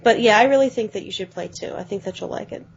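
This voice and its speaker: A woman co-hosting a podcast, chatting quickly about video games and often letting her phrases trail off. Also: medium pitch, vocal fry, breathy edge. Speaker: podcast co-host. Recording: dull lo-fi podcast audio.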